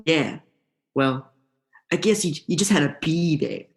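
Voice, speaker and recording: A woman's voice: low-pitched, unhurried. A young woman in a student radio play, playing a laid-back, vengeful male eel with a casual, slangy drawl. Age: young adult.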